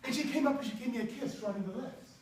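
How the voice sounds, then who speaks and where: A man in his sixties giving an animated, humorous speech to an audience from a stage, now and then breaking into bits of song. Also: extremely high pitch, very fast, a crowd in the background. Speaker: contest speaker. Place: auditorium stage before an audience. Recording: echoey hall from far off, faint hiss.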